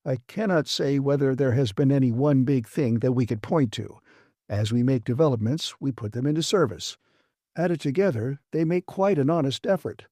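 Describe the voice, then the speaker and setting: A man voicing a measured, modest male laboratory engineer in an audiobook, matter-of-fact and calm under criticism. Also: mid-range voice, no echo, clean studio sound, natural voice. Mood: calm, defensive. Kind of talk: dramatised audiobook reading.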